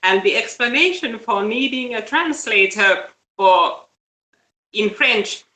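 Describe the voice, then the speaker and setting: A woman's voice: fairly high pitch, lively intonation, takes it slowly, bright, forceful tone. A woman giving casual news commentary as a live-stream host. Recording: roomy, echoey live-stream audio.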